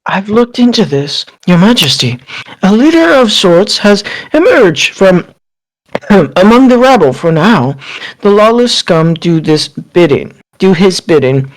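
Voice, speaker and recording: A man's voice: sits high, sing-song, a little slow, poor audio. A man bantering casually in a party game with friends and reading out character lines.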